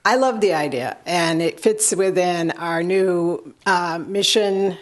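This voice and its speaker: A hoarse middle-aged woman giving halting remarks to a city council, with many ums and pauses. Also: fairly low pitch. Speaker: county commissioner. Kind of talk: public meeting remarks. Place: council chamber.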